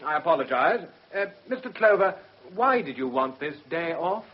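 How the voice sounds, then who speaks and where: A shrewd English defence barrister with a Received Pronunciation accent. His voice sits a little high and moves expressively, yet stays formal and precise. The radio drama recording is dull and muffled. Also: sing-song. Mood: brisk, probing. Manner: formal, precise, measured questioning. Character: shrewd, controlled male defence barrister under pressure.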